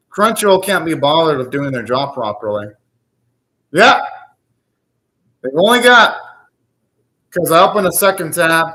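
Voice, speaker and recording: A man's voice: on the high side, sharp tone. A young man hosting a live anime reaction, talking casually, ranting and swearing now and then.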